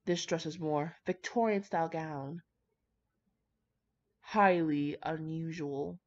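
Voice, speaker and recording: A woman's voice: sits low, steady tone, slow pace, darkish tone. A young woman reading fan fiction aloud in an informal, slangy way, stumbling over words here and there. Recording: dull, muffled lo-fi home recording.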